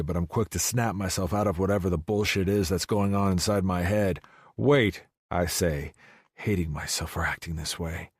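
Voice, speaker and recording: Low-voiced male audiobook narrator, dry close sound. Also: breathy edge, no room sound. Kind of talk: scripted first-person romance narration.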